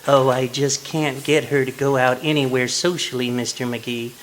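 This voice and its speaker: An actor playing a meek, cheerful henpecked husband in a polite, prim manner, in old-time radio comedy style. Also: male voice, mid-range voice, fairly even intonation, moderate pace, natural voice, rough recording. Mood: mildly resigned. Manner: polite, mild, prim delivery. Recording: live stage recording with background noise.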